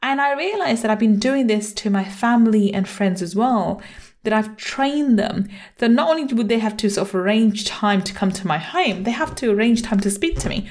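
A woman's voice: on the high side, expressive, moderate pace, a little mellow. A middle-aged woman hosting a solo podcast as a coach, talking conversationally and often repeating herself. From England (born in Manchester, grew up in London). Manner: conversational, repeats herself, frequent "you know". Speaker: podcast host, money mindset coach.

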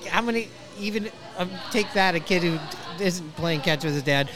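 A man's voice: high-pitched, moderate pace, music in the background. A man hosting a podcast, chatting casually and enthusiastically like a fan, in a rambling way as he interviews.

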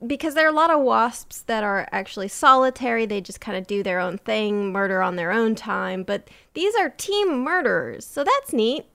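A woman's voice: a little high, expressive, moderate pace, hardly any pauses, bright, forceful tone. A woman host explaining a science topic in casual, playful, jokey podcast chat.

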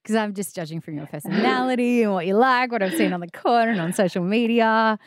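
A woman interviewing a guest, friendly and quick to laugh and chime in. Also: mid-range voice, no echo, clean studio sound. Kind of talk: casual interview chat.

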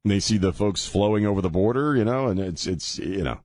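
Low-pitched middle-aged radio host, sardonic rambler, dry studio mic. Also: male voice, expressive, fairly quick, darkish tone, dry recording. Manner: rambling, sardonic, restarts often. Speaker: radio talk show host. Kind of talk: casual talk radio monologue. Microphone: studio microphone.

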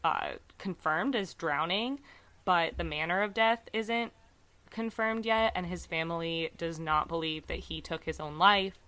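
Bright, slightly raspy woman podcast host. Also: lowish voice, measured pace. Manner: chatty, many fillers, earnest about cases. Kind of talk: casual true crime podcast chat.

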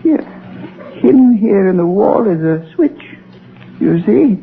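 Crazy old watchman, slow n creaky, servile but spiteful, muffled old 30s radio drama. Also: high voice, animated, slight background noise, full character voice. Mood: gleeful, sly. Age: older. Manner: halting, gloating, cackling. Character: crazed vengeful old watchman, cackling and servile. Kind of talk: 1930s radio thriller acting. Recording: muffled 1930s radio recording.

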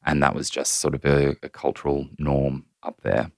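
An Australian man in his thirties, a podcast guest telling his story in a measured, candid way with frequent ums. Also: very deep voice, level tone, creaky, darkish tone, no echo, clean studio sound. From New South Wales, Australia. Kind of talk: reflective personal storytelling.

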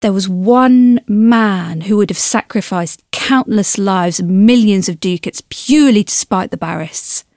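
A composed female historian voiced by an actress reads from her chronicle in an audio drama. The sound is dry and close, at a medium pitch for a woman. She is deliberate and literate, pausing for dramatic weight. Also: darkish tone, no echo, close to an everyday voice. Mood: measured, authoritative.

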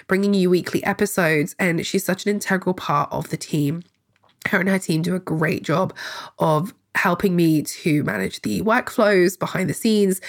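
A woman hosting a podcast, congested from a cold, giving a chatty, upbeat solo introduction with the odd filler. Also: fairly low pitch. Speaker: podcast host.

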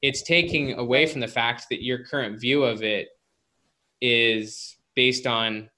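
A man's voice: mid-range voice, steady tone, unhurried, sharp tone. A man speaking as a guest psychologist in a conversational interview, explaining informally with lots of filler words like "like" and "you know".

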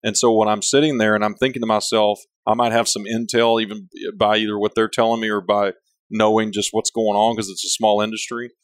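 Big-voiced man, low and dry. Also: little pitch movement, a little fast, dry recording. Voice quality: big voice, big personality. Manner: rambling, self-interrupting, candid. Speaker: company leader, interview guest. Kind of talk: casual conversational interview.

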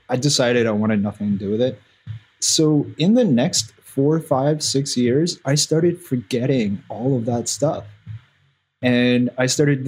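A man's voice: medium pitch, darkish tone, husky, rough recording. A man whose first language is Russian, chatting casually as a podcast guest in a rambling, self-deprecating way with lots of fillers. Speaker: podcast guest, visual artist.

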